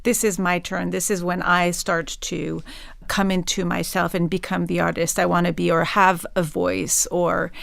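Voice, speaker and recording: A woman theatre guest speaking in a reflective, deliberate and emphatic way in discussion. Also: fairly low pitch, flat delivery, creaky, a bit bright, no room sound.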